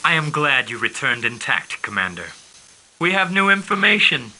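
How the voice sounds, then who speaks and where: An actor playing a commanding mission controller who gives clipped, formal orders in a game cutscene. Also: male voice, mid-range voice, very animated, normal speed, pushed and bright, heavily acted, rough recording.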